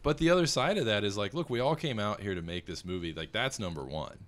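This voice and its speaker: A man in his thirties with a big, very low voice that still carries a bright, forceful edge. He talks in a casual conversational way, rambling through his thoughts, halting and restarting mid-sentence as he works out what he means. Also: animated. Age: 30s. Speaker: filmmaker guest.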